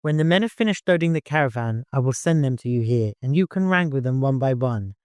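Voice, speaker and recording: A man's voice: mid-range voice, animated, a little fast, smooth, dry recording, clean studio sound, close to an everyday voice. Man playing a courteous, bashful caravan leader. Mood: kindly. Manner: courteous, gently humorous, easily flustered.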